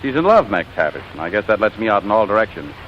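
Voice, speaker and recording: An actor playing a brash, wisecracking American show producer and scheming boss, in 1940s radio comedy style. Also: male voice, on the low side, big pitch swings, fast talker, slight background noise. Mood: dejected, brisk. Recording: muffled old radio broadcast, background music.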